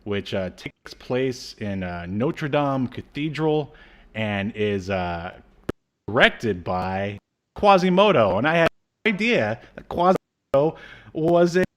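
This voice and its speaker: A man's voice: mid-range voice, very animated, slow pace. A man in his thirties co-hosting an informal review chat, talking in a casual, joking way. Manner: jokey, informal. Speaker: podcast co-host. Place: indoor room, on a video call.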